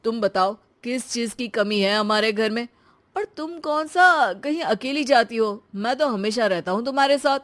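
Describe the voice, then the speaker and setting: Casting: woman as carefree big-spending young husband in a cartoon tale, boastful manner, higher-set voice. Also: lively intonation, normal speed, a bit bright. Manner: boastful, breezy.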